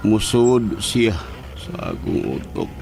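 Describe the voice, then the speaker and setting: A man acting an entranced man in a horror audio drama, speaking in a low, gravelly, halting, drowsy murmur. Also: big pitch swings, full character voice. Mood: dazed, entranced. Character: entranced man, Dominic, slowly describing creeping visions. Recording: music bed under the voice.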